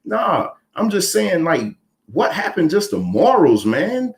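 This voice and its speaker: Blunt, animated man talking casually. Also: sits high, slow pace. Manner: animated, blunt, repeats words when starting a point. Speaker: podcast panelist. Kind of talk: casual opinionated chat.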